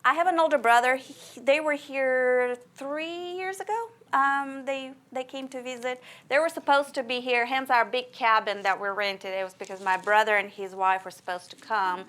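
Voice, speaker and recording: A woman's voice: high-pitched, moderate pace, few pauses, fairly bright tone. A woman from Russia with a slight Russian-Texan accent, a guest answering interview questions in an earnest, thoughtful way with many fillers.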